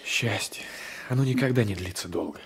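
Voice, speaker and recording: A husky, possessive spurned lover. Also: male voice, fairly low pitch, a little fast. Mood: fervent, coaxing. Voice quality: husky, often dropping to a whisper. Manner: intense, insistent, repeating phrases. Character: gendarme, a jilted former lover, passionate, possessive, vengeful. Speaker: actor in a radio play. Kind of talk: 1980s radio drama acting.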